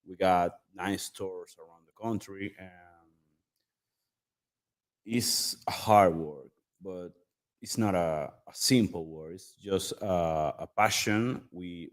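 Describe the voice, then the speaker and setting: Slow, deep-voiced Dominican man. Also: darkish tone, clear, resonant tone. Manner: hesitant, halting. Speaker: cigar shop manager. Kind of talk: casual self-introduction.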